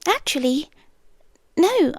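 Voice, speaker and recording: A young British woman speaks in a high, soft voice, drawing out every phrase very slowly. Much of it is whispered, intimate and close to the microphone. The recording is dry, with no room sound. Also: very animated, no room sound. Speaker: ASMR roleplay performer. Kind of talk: scripted ASMR girlfriend roleplay.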